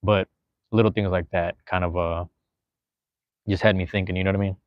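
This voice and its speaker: A man's voice: sits low, fairly even intonation, brisk, dry recording, highs cut off. A man in his thirties chatting casually as a podcast guest, rambling and slangy, swearing freely. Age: 30s. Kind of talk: casual chat.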